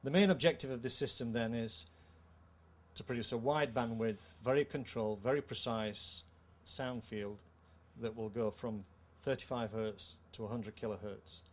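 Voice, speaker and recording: A middle-aged man with a British accent gives a measured, formal technical explanation of a product. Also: mid-range voice, fairly even intonation. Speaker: company co-founder presenting a product. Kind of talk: technical product presentation in an interview. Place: indoor trade show room. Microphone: handheld microphone. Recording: clear background noise, show-room ambience.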